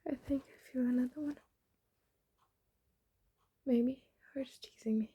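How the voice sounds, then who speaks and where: A woman's voice: sits high, steady tone, measured pace, breathy edge, highs cut off. A woman with a stuffed-up, sniffly nose, talking casually into her phone at home and murmuring breathily between sneezes. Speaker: vlogger. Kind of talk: casual self-recorded vlog.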